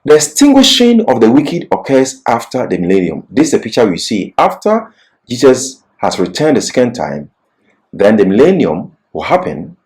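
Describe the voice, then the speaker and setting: A male Bible teacher delivers a scripture lecture with a very animated, swooping intonation. His voice sits at a middle pitch but rises and falls sharply as he stresses points. He teaches emphatically, often putting questions and then answering them. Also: normal speed.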